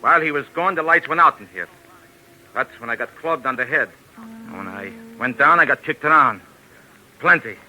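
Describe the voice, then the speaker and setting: An actor playing a heavyset, hot-tempered waterfront boss, blunt and bullying, in a 1940s radio drama. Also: male voice, medium pitch, expressive, moderate pace, pauses often, pushed and bright, slight background noise, low-quality recording. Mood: suspicious, aggrieved. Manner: blunt, gruff, bullying, broken tough-guy grammar.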